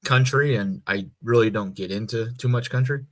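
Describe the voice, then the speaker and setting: An American man co-hosting a podcast, explaining things in a casual, loose and halting way. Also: mid-range voice. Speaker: podcast co-host. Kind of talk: casual chat.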